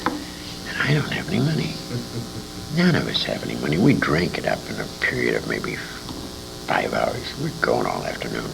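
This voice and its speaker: Older American male, very low gravelly voice, animated anecdotal interviewee on a noisy amateur tape. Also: normal speed. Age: 60s. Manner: animated anecdotal storytelling with emphatic repetition. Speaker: interview guest, old-time radio veteran. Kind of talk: casual reminiscing interview. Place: radio convention, live interview.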